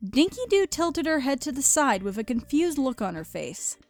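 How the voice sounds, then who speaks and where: A woman's voice: high voice, sing-song, moderate pace, darkish tone, faint music behind. A woman reading scripted story narration as the narrator of an audio drama.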